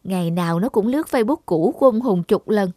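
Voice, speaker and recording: A woman's voice: mid-range voice, lively intonation, fast, dark tone, no room sound, lightly acted. A woman playing a gossipy young student in a cartoon, fussing in a blunt, colloquial way. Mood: indignant. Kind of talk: cartoon voice acting.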